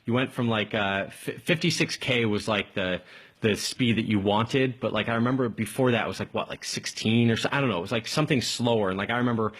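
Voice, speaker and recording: A man hosting a casual live call-in tech stream, rambling along in an informal, enthusiastic way with a slightly husky voice. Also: lowish voice, brisk. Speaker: live tech show host.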